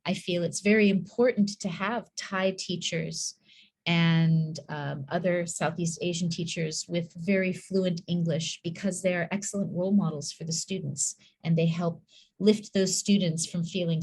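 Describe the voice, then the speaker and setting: Woman, school leader presenting at a conference. Unhurried, reflective, lowish voice. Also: steady tone, slightly rough. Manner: measured, reflective, with soft laughs. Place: conference hall.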